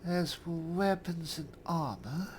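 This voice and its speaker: A man voicing a cool, dry high elf spellsword, musing formally as he roleplays through a fantasy game. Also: expressive, a little hiss or hum. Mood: pensive, muttering. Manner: measured, formal, thinking aloud.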